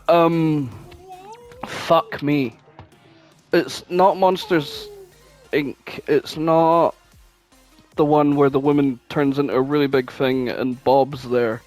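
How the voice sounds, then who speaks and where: A man's voice: on the high side, steady tone, slow, pauses often, a bit bright, faint music behind. A man chatting casually as a podcast co-host, informal and prone to swearing. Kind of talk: casual chat, guessing game.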